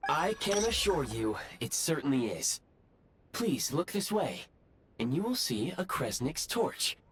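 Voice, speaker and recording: A man's voice: a little high, big pitch swings, measured pace, noisy background. A man voicing an eager, apologetic robot guide in a video game, with formal, courteous and precise announcer phrasing. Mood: assuring.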